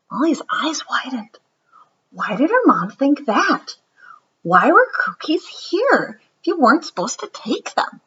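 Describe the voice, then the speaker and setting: A woman narrates a picture book with animated, dramatic delivery. Her voice swings widely in pitch and has a husky edge, on a slightly muffled recording. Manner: animated, dramatic storytelling with whispered asides. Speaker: storybook reader. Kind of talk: expressive read-aloud narration of a children's picture book.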